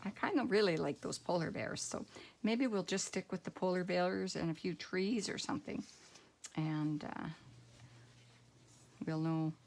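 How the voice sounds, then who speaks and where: A middle-aged woman with a croaky voice, demonstrating card making at home and thinking aloud in a relaxed, rambling way. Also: lowish voice, unhurried, pauses often. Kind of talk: casual craft tutorial.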